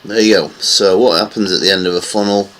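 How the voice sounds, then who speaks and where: A man's voice: sits low, steady tone, fast, a bit bright, slight background noise, low-quality recording. A man giving casual, rambling commentary on silver price charts, with many fillers. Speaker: market analysis vlogger.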